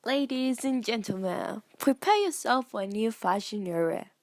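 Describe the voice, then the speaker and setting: A salesy sixties boutique announcer, played by a woman, pitches straight to the audience in scripted ad style. The voice is on the high side with noticeable creak, recorded very dry. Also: lively intonation, moderate pace, dry recording. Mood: inviting, promotional. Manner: salesy, addressing the audience directly. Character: promotional 1960s boutique advertisement announcer, female. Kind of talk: scripted radio-style advertisement.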